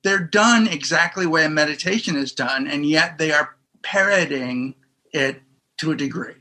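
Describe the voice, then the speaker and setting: A man co-hosting a casual podcast who speaks in short, sincere bursts. His voice is higher than most men's and has a bright, pushing edge. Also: moderate pace. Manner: earnest, brief remarks. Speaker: podcast co-host.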